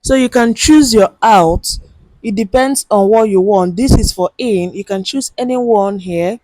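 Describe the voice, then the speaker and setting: Loud-voiced woman walking you thru an editing app, handheld mic, dry close sound, says okay a lot. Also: medium pitch, a little slow, no room sound. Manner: chatty step-by-step instructions, frequent "okay" and "right?". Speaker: video editing tutor. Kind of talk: screen-recorded app tutorial.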